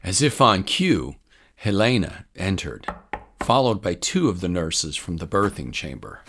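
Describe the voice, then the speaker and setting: A man's voice: low voice, sing-song, unhurried, a bit bright, slight background noise. A man reading scripted story narration as the narrator of a tale. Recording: voice over prominent music bed. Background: music.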